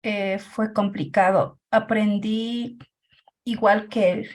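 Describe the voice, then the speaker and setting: A woman whose first language is Quichua, a teacher speaking about her own experience in a group discussion, hesitant with many pauses and filler sounds. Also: medium pitch, steady tone, darkish tone. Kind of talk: personal account in a group discussion.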